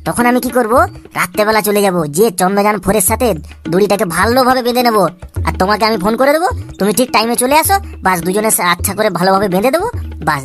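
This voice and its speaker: A woman's voice: fairly high pitch, close to an everyday voice. A woman voicing a cheeky, boastful young man full of schemes in a comic cartoon, chatty and wheedling. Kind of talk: comic amateur cartoon dialogue.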